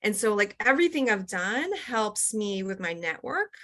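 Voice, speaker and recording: Middle-aged woman, interview guest; loose, chatty delivery full of fillers, even middle pitch. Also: moderate pace. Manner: chatty, many fillers and restarts, laughs often. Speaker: guest, company executive. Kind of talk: casual interview.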